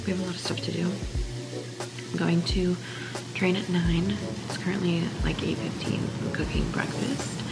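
A woman's voice: on the low side, level tone, normal speed, vocal fry, loud music behind the voice, poor audio. A woman in her twenties chatting casually into a handheld camera as she goes about her day, rambling and saying "like" a lot. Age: 20s. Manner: chatty, rambling, says "like" often. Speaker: vlogger. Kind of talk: casual vlog chat. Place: car, kitchen and apartment. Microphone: handheld phone camera at arm's length.

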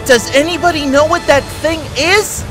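Girl doing earnest young hedgehog guy, cartoon dub, high expressive voice, bit of music behind. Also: normal speed, natural voice. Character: earnest young male hedgehog psychic, bold avenger.

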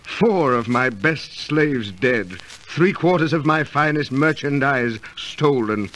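An actor playing an aggrieved, self-pitying Babylonian merchant in an old radio drama, declaiming and lamenting in archaic, formal speech. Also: male voice, mid-range voice, animated, takes it slowly, fairly bright tone, low-quality recording. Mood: bitter, weary. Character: aggrieved, self-pitying ancient Babylonian merchant, turning defiant against his gods. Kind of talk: golden-age radio drama acting. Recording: old radio recording with faint noise.

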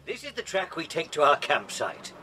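An actor playing a kindly middle-aged English teacher in a children's audio drama, speaking in a genteel, avuncular way. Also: male voice, fairly high pitch, wildly swooping pitch, normal speed, bright, forceful tone, slight background noise, full character voice. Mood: genial. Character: kindly absent-minded middle-aged man, teacher leading children camping.

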